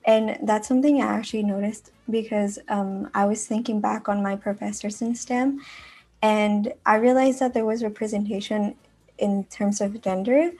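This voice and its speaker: A young woman from Mexico, a university student talking casually in a podcast discussion in a halting way, often saying "like". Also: mid-range voice, steady tone, a little mellow. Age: young adult. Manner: halting, frequent filler "like".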